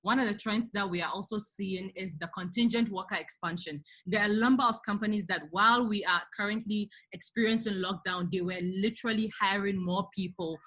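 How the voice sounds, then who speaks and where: A woman's voice: medium pitch, fairly quick, hardly any pauses, a bit bright. A woman hosting an online career webinar, giving motivational advice and putting pointed rhetorical questions to her listeners in an emphatic way. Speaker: webinar host and career speaker.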